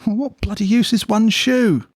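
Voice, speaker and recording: A man playing a matter-of-fact, comically earnest pub friend in an audio drama, bantering in a deadpan, incredulous way. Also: high voice, measured pace, dry recording, clean studio sound. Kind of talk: audio drama comedy dialogue.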